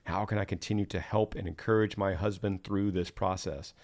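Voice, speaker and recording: A man hosting a podcast, talking in a relaxed way with soft fillers and restarts, and reading listener questions aloud. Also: sits low, fairly quick. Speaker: podcast host.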